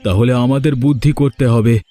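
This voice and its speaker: A man's voice: mid-range voice, steady tone, normal speed, mellow, close to an everyday voice. A man voicing a greedy, scheming village husband in a cartoon, speaking to his wife in a conspiratorial way. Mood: plotting. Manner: conspiratorial, reassuring. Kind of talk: animated cartoon dialogue. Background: background music.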